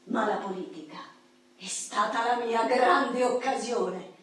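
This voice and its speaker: Feisty high-voiced Roman woman, echoey hall. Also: takes it slowly, fairly bright tone. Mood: proud, passionate. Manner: vivid, colloquial storytelling, acting out quoted people. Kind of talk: theatrical monologue in a radio play. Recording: echoey hall, distant mic, clicks.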